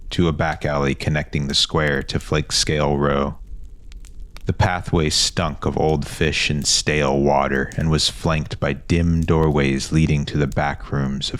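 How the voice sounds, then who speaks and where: A man's voice: very deep voice, no echo. A man reading a scripted fantasy story as its narrator.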